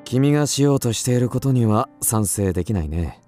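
Radio drama: actor as genteel husband, teasing and condescending, dark-toned, lively intonation. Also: male voice, mid-range voice, a little fast, soft background music, lightly acted. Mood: amused, disapproving. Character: suave, indulgent upper-class husband, gently chiding.

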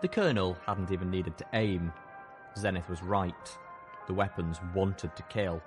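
A man's voice: low-pitched, a little slow, over music. A man reading scripted first-person fiction as an audiobook narrator.

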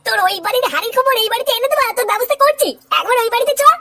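Casting: crude, brash young husband played by an actor, high quick voice for cartoon comedy. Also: pushed and bright, slight background noise, full character voice. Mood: angry, defiant. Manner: brash, foul-mouthed. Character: crude, hot-headed, jobless young husband and son.

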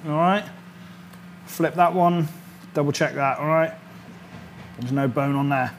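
A man's voice: on the high side, measured pace, slight background noise. A British man in his thirties, a chef talking chattily through an informal cooking demonstration, checking in as he goes. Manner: chatty, instructional, often checks with "all right?". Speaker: chef presenting a cooking series. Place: indoor kitchen studio set.

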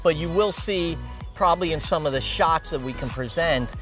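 Middle-aged man, lively local publisher, slightly high voice, interviewed outdoors on a lapel mic. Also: moderate pace, faint music behind. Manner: animated, talks with hands. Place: outdoors at a waterfront construction site.

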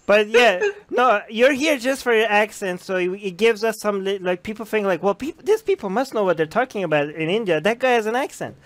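A man's voice: sits high, lively intonation, brisk, few pauses, fairly bright tone. A man hosting a casual livestream from a small room at home on a headset mic. He teases, laughs often and repeats himself. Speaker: show host. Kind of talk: casual livestream chat, teasing.

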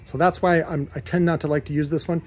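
A man with an American accent and a somewhat higher-pitched voice talks through his workshop. His delivery is casual and unscripted, wandering from point to point in plain, informal language. He sounds like someone presenting his own equipment on a handheld camera. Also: steady tone, fast, darkish tone, slightly rough. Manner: rambling, informal, occasionally profane. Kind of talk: casual off-the-cuff shop walkthrough. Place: cluttered industrial workshop indoors. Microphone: handheld camera held by the speaker.

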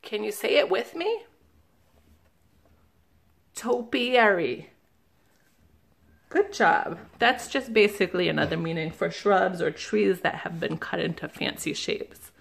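Slow, gentle woman reading to children. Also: mid-range voice, expressive, gravelly. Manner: slow, gentle and questioning, pausing to ask listeners. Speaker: children's librarian. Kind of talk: reading a picture book aloud to children. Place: indoors at a table, camera above the book.

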